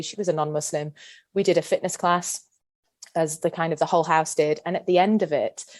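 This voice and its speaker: Podcast guest: woman in her thirties from Yorkshire, low voice, earnest and deliberate. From Yorkshire, England. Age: 30s. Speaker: guest, PE teacher and former athlete. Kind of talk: reflective podcast interview.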